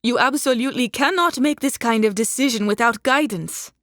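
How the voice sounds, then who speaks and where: An actress performs an anxious woman in a dramatic audiobook. Her voice sits fairly high and is urgent and emotional, pleading with someone she wants to protect. The sound is dry and studio-clean. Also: fairly quick, fairly bright tone, no room sound, close to an everyday voice. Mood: panicked, urgent. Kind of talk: audiobook character acting, dramatic.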